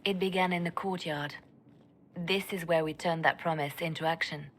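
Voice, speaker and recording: An actress voicing a cool, composed spymaster in a fantasy game, speaking in a quiet, even way. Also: female voice, low-pitched, moderate pace, a little hiss or hum, close to an everyday voice. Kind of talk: video game dialogue acting. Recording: game audio with soft music behind.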